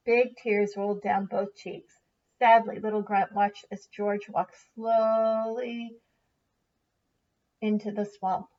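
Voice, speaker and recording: A woman's voice: mid-range voice, flat delivery, takes it slowly, a little mellow. A woman teacher reading a children's picture book aloud expressively, in a distant, slightly muffled recording.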